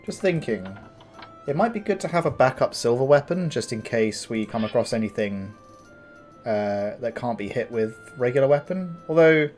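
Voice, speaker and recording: A man with a gravelly morning voice, casually commenting on his game as he plays, thinking aloud with fillers and restarts. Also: mid-range voice, animated, moderate pace, darkish tone, faint music behind. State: tired morning voice. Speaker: gameplay commentator. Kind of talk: casual let's-play commentary.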